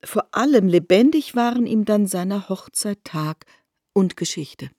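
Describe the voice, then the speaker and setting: Brief: female narrator, warm husky tone, calm measured reading, dry studio sound. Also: mid-range voice, no echo. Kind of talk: scripted literary narration.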